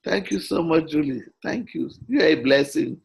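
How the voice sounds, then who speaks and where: Gravelly older woman, encouraging. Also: deep voice, animated, normal speed, slight background noise. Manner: encouraging, affirming, many backchannels. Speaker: Toastmasters region advisor. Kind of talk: casual video call chat. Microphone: video call.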